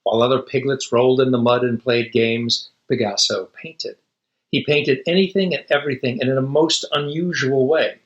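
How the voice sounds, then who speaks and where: A man's voice: medium pitch, steady tone, normal speed, highs cut off. Man reading a picture book, echoey room. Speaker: storybook reader. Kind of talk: read-aloud storytelling of a children's picture book with casual asides.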